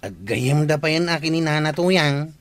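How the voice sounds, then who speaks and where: Man acting teasing villager, Ilocano accent, radio comedy drama, raised male pitch. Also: lively intonation, normal speed, faint music behind, close to an everyday voice.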